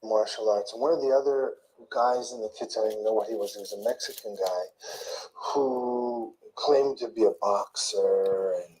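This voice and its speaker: An older man slowly recalling his past in a soft, murmuring, rambling way, often trailing off. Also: fairly low pitch, sing-song, creaky, poor audio. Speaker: interviewee in played clip. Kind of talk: slow rambling recollection.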